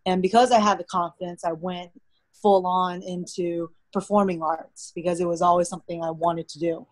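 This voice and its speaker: A young American woman whose first language is Mandarin, telling stories in a relaxed, chatty way as a podcast guest. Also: lowish voice, normal speed, no echo. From United States. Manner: relaxed, chatty storytelling with frequent "like". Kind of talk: casual interview conversation.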